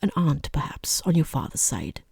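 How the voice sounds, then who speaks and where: A person voicing a rude, condescending boss in a soft-spoken ASMR roleplay, with a breathy half-whisper, hesitant pauses and dryly mocking, falsely polite understatement. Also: mid-range voice, very animated, no echo, exaggerated voice. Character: rude, condescending boss conducting a job interview.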